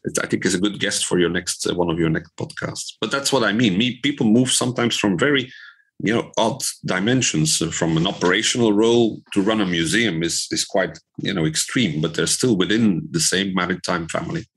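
A man's voice: deep voice, a little fast, a bit bright, slightly rough. A man in his fifties from Belgium answering in a conversational podcast interview, rephrasing as he goes. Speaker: interview guest, trade association director.

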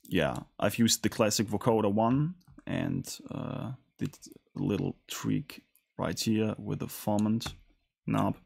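A man hosting a casual music production tutorial in a studio, talking in a hesitant, halting way. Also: lowish voice, gravelly, a little mellow. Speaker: tutorial host.

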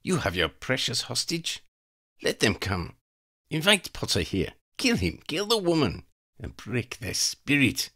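A man's voice: mid-range voice, huge pitch swings, a little slow, pushed and bright, voice pushed up, exaggerated voice. A man voicing a cruel, scheming female vampire in an audiobook reading, icy and imperious. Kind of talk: fan fiction audiobook reading.

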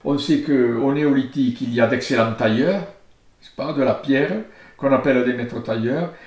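A man's voice: mid-range voice, moderate pace, darkish tone. Older man with a southern French accent. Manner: thoughtful, gesturing, frequent hesitations. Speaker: archaeologist. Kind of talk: filmed interview, reflective. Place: study lined with bookshelves, indoors. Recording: roomy study sound.

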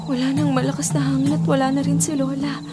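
Woman acting anguished young granddaughter, very high voice. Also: little pitch movement, fast, loud music behind the voice, close to an everyday voice. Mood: shocked. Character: frightened, anguished young granddaughter, pleading and brave. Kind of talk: Filipino radio drama acting. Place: small padded radio recording booth. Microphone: studio condenser mic with pop filter.

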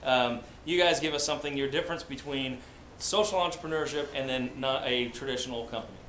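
A man's voice: mid-range voice, fast, a bit bright, slight background noise. Guy running a table discussion, walking the room, echoey meeting room sound. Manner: standing, walking among tables, summarizing answers. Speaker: panel moderator. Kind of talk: moderating a group discussion.

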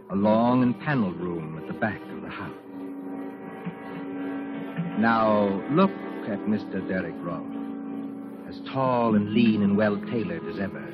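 Deep solemn 1940s suspense narrator, dull old radio sound, loud music behind. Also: male voice. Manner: solemn, measured, theatrical. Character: ominous male narrator of a suspense tale.

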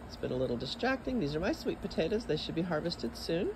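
Texan man, lively pitch, casual homestead vlogger; outdoor phone audio, traffic behind. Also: quick pace. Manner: relaxed, chatty, lively rises in pitch. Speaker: backyard homesteader giving a garden tour. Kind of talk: casual walking garden tour. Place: outdoors in a suburban backyard garden. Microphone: phone camera held while walking. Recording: outdoor phone audio with clear background noise.

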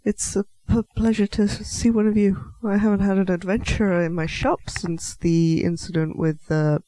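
A man's voice: high-pitched, steady tone, normal speed, dark tone, no room sound, lightly acted, low-quality recording. A man playing a clumsy, nervous half-elf alchemist shopkeeper in a fantasy audio roleplay, stammering, apologetic and politely chatty. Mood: flustered welcoming. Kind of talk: scripted fantasy audio roleplay addressed to the listener.

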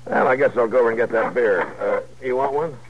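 An American actor playing a calm, laconic frontier marshal in a 1950s radio Western. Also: male voice, medium pitch, a little fast, close to an everyday voice. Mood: easygoing. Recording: muffled, faintly noisy old radio recording.

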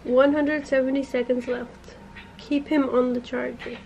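A woman's voice: high voice, level tone, unhurried, vocal fry, darkish tone, muffled recording, slight background noise. A woman vlogging casually at home, reading out setup steps from an app as she chats with her child. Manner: reads out app setup steps in a light, chatty way. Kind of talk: casual chat. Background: background music.

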